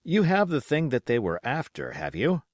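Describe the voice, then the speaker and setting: A man voicing a genial, level-headed gentleman doctor in an audiobook, courteous, measured and to the point. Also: mid-range voice, huge pitch swings, no echo, clean studio sound, voice pushed up.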